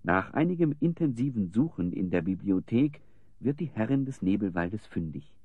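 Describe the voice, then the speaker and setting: Animated, lowish-voiced male storyteller. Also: normal speed, breathy edge, no echo, narrow, tinny audio. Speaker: narrator. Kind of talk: scripted audio drama narration.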